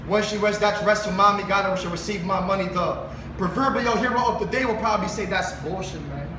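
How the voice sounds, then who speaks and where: A man's voice: high-pitched, fast. A man with a Filipino accent performing spoken word poetry and talking casually to the room at a live event. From Philippines. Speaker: spoken word poet on stage. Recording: roomy, distant mic, noisy live venue.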